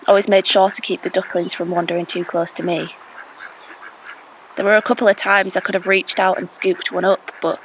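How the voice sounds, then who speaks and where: An actress playing a reflective, observant stranger narrating an audio drama in a measured, wistful way. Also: female voice, fairly low pitch, a little hiss or hum, natural voice, low-quality recording. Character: reflective, observant stranger recalling a park bench encounter.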